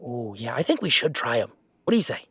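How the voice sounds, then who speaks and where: Male actor as young werewolf gamer, audio drama, earnest and playful, animated intonation, conversational, hesitant and emphatic, dry recording. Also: medium pitch, husky, dry recording. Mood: curious, relaxed. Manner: halting, emphatic, expressive, with sighs and chuckles. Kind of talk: intimate roleplay audio acting, conversational.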